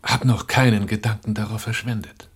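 Low, husky, dry businessman. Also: fairly even intonation, fairly quick. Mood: wary, ironic. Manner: dry, politely ironic. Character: urbane, dry, wary businessman and importer, narrating his story. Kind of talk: German radio play dialogue and first-person narration.

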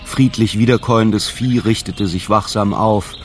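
A man narrates literary prose for a radio play. His voice is fairly low. Faint birds and animals are heard in the background. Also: measured pace, a little hiss or hum.

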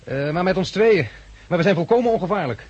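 A man's voice: sits high, expressive, fast talker, darkish tone, breathy edge, lo-fi sound. A man playing a level-headed, sombre survivor in a 1960s radio drama, matter-of-fact in manner. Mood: guarded. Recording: hissy 1960s radio recording.

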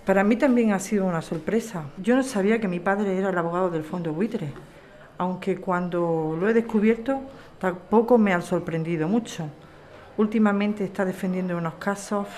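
A woman's voice: fairly low pitch, darkish tone, close to an everyday voice. A woman acting an earnest, apologetic lawyer in an audio drama. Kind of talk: audio fiction podcast acting.

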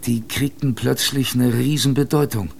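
A man's voice: medium pitch, fairly even intonation, moderate pace, vocal fry, a little mellow, a little hiss or hum, close to an everyday voice. A man playing a former polar explorer who recounts past hardships in a wry, conversational way, as narrator of a German radio drama. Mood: wry, reminiscing. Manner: wry, colloquial, thoughtful.

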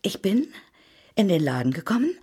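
Radio play role: older female busybody and accuser. Husky, very animated, dramatic, insistent. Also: medium pitch. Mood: dramatic, horrified. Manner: dramatic, insistent, halting testimony. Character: older female servant broker, spiteful, gossiping accuser. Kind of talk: German radio drama acting.

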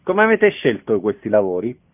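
A man's voice: on the low side, very animated, normal speed. Male interviewer, muffled lo-fi sound. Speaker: radio interviewer. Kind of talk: interview questions.

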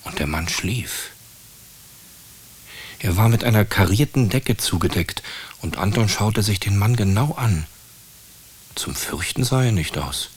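A man with a deep, husky voice narrates a radio play. His delivery is scripted storytelling. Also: animated, unhurried, pauses often.

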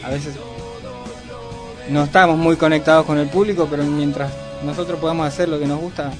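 A man, a band's bassist, talking haltingly as a radio guest with many fillers. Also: fairly even intonation, over music. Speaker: band bassist and singer, guest. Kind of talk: casual radio interview. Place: radio studio.

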